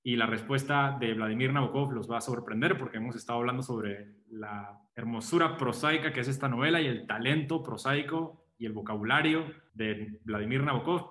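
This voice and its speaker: A man's voice: medium pitch, roomy sound. A man discussing a novel in casual conversation, reading quotations aloud now and then. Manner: animated, reads quotations aloud. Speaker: book discussion host.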